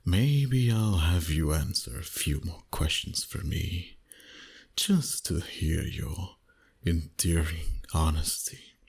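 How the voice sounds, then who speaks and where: A man voicing a dominant, flirty vampire boss in an intimate ASMR roleplay, teasing slowly in a husky voice that often drops to a whisper. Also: sits low, a little mellow, exaggerated voice. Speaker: voice actor. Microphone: close microphone.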